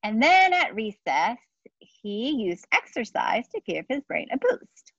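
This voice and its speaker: Slow, expressive woman reading a children's story. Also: fairly high pitch, slightly rough, no echo, muffled recording. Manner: deliberate, explanatory, speaking to young listeners. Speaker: children's book author reading aloud. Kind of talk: storytime read-aloud with casual asides to children. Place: outdoor patio beside trees.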